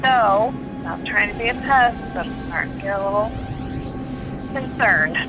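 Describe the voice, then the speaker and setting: A woman acting a caring, worried, gently apologetic caller who rambles tentatively through a voicemail in an audio drama. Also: mid-range voice, moderate pace, music in the background, natural voice, poor audio. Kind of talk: naturalistic fiction podcast voicemail acting.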